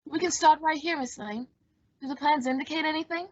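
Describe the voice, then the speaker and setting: A woman's voice: high voice, fairly quick, lightly acted. A woman acting a sly villainous man who poses as a polite helper in a radio thriller, with theatrical delivery. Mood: falsely polite. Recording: dull lo-fi audio.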